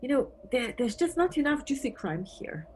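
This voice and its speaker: An actress playing a wry, wistful married police detective from Antwerp in an audio play, musing conversationally. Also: female voice, high voice, very animated, normal speed. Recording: noisy recording with background ambience.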